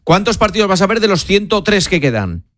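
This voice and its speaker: Fast talking radio host guy, dry studio sound, keeps things moving. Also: high voice, very animated, a bit bright, dry recording. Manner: brisk, greeting and prodding guests. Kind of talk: live radio sports show.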